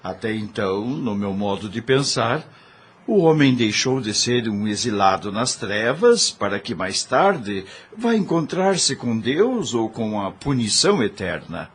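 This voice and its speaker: A slow-speaking, fairly low-voiced gentleman played by a man in an audio drama. His husky voice is earnest and formal, with wide, expressive intonation. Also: exaggerated voice. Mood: astonished, moved. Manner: formal, ponderous. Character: earnest gentleman, humbled and moved by faith.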